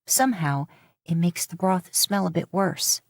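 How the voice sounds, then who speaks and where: A woman reading a story aloud in a calm, steady narration. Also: on the low side, measured pace, no echo, clean studio sound. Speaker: podfic narrator. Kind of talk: scripted audiobook narration.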